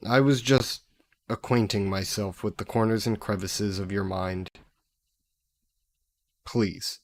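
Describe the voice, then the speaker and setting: A man's voice: fairly low pitch, natural voice. A man playing a sassy, possessive, centuries-old demon in an audio roleplay, speaking haughtily and sardonically in formal wording. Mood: sly, possessive. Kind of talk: scripted audio roleplay addressed to the listener.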